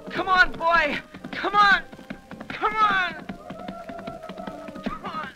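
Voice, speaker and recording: A man's voice: very high voice, extremely slow, full character voice. An actor playing a determined, weary teenage boy rider in an old Western radio drama. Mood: urgent, shouting. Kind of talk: 1930s radio drama acting. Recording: old radio broadcast with music.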